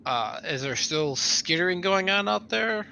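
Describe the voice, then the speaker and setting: A man's voice: high-pitched, animated, measured pace, gravelly, bright, forceful tone, faint music behind. A man playing a tabletop roleplaying game, improvising his character's talk in a casual way.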